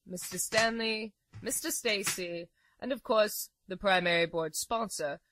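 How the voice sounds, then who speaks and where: A woman playing a composed, clinical nurse giving measured, formal reports in a horror audio drama. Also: mid-range voice, a bit bright, lightly acted.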